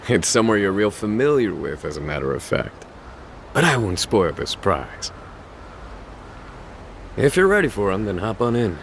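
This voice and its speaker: A man's voice: lowish voice, sing-song, normal speed, gravelly, long pauses, slight background noise. A man playing a sly, confident fixer loyal to his boss in an English video game dub, speaking in a casual, coy way.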